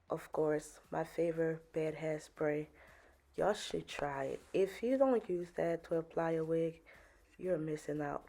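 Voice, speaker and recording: A woman's voice: low-pitched, dark tone. A woman from Liberia, chatting casually through a wig unboxing tutorial and calling her viewers sis and y'all. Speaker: beauty vlogger.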